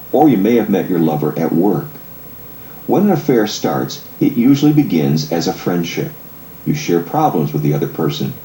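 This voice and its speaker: A man reading scripted audiobook narration in a measured, articulate, explanatory way. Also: deep voice, dark tone, roomy sound, slight background noise, low-quality recording.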